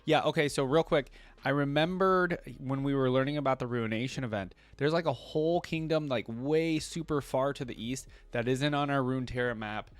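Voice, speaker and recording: A man's voice: medium pitch, moderate pace, few pauses, dry recording. Man with dry, close-up sound, casual reactor. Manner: conversational asides on what he reads. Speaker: reaction video host. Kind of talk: casual reaction commentary, reading lore aloud between remarks.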